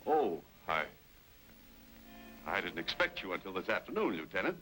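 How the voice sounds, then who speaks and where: A villainous colonel in a vintage radio western. He is commanding and smoothly scheming, his pitch rising and falling dramatically over prominent music. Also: big pitch swings, moderate pace, bright, forceful tone, heavily acted, poor audio. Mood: flustered politeness. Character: ruthless power-hungry colonel, male villain grabbing control. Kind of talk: old-time radio western acting.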